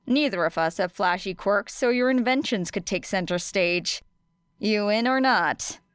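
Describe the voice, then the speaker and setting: A woman's voice: medium pitch, unhurried, clear, resonant tone, no room sound, natural voice. A woman voicing a scrappy, determined teenage girl in an audiobook, casual and blunt in manner. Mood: persuasive.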